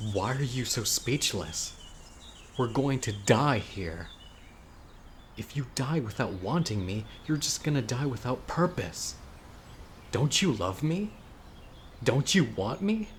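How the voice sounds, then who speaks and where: A man playing an obsessive, dying young witch in an audio roleplay, speaking to his beloved in a deliberate, intimate, pleading way. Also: medium pitch, a little hiss or hum, lightly acted. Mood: agitated, desperate. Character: obsessive, dying young male witch, devoted yandere lover. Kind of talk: audio roleplay, dramatic monologue to listener. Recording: outdoor nature ambience, crickets and birds. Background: insects, birdsong.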